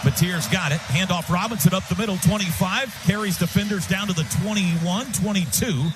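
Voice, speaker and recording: High-pitched male football play-by-play announcer. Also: fairly quick, background noise. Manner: excitable, urgent, punchy calls that swell to shouts. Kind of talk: live football radio commentary in short clipped phrases.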